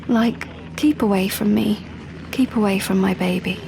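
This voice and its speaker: An actress playing an anxious, defensive young British woman in an audio drama, speaking in a breathy, hesitant way. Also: medium pitch, normal speed, noisy background, close to an everyday voice. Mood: anxious, confiding. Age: young adult. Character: anxious, defensive young pregnant woman, confiding yet pushy. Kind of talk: audio drama monologue and dialogue.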